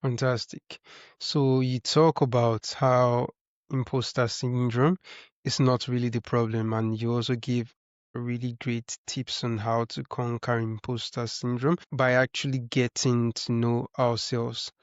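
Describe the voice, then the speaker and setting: A man hosting a podcast, asking interview questions in a measured, encouraging way. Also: medium pitch, little pitch movement, clear, resonant tone, no room sound. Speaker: podcast host. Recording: slightly dull, lo-fi sound.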